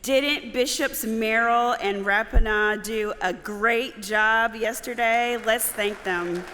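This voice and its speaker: Bright-voiced woman making conference announcements. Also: a little high, slow pace, faint music behind, a faint crowd. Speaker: committee member at a church conference. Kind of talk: formal announcements. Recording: long reverb in a large hall.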